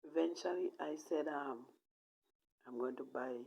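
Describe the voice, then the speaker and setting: A woman with a Jamaican accent telling a personal story casually in patois, in a halting, emphatic way that repeats phrases. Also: sits low, creaky, background noise. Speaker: vlogger telling own life story.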